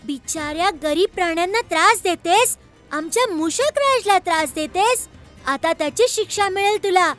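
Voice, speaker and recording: A small, hot-headed boy god for a children's cartoon. The voice is extremely high and childlike, with emphatic, declamatory lines. Faint music plays behind it. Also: animated, normal speed, a bit bright. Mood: angry, taunting. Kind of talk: animated children's story dubbing in Marathi.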